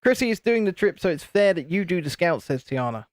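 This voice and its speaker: A man with a naturally high-pitched voice, hosting a casual livestream and cheekily bantering while reading out chat comments. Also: sing-song, fairly quick, no echo, studio quality. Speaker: host of a building livestream.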